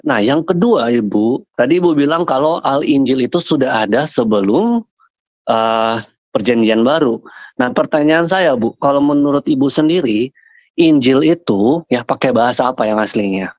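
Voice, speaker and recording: Brief: male debater on a lo-fi video call, dry sound, mid pitch, patient questioning tone. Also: animated, moderate pace, darkish tone, no room sound. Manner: patient, probing, addresses her as "Bu" often. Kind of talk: casual online debate. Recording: dull lo-fi call audio.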